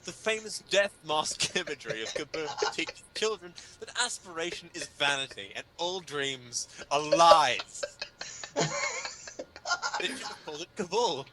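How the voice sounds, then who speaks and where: A man's voice: fairly high pitch, lively intonation, measured pace, poor audio. A man giving a dramatic comedic reading of an article, with an emphatic, mock-indignant, sardonic delivery. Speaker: reader performing a humor article.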